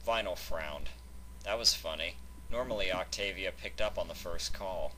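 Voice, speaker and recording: A man's voice: low voice, moderate pace, pushed and bright, slight background noise, rough recording. A man reading a scripted fan fiction story aloud as its narrator.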